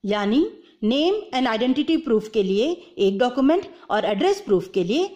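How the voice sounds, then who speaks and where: A woman's voice: on the high side, lively intonation, measured pace, dark tone, highs cut off. A woman giving an explanatory tutorial voice-over step by step, mixing English terms into her speech. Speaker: video presenter explaining instructions.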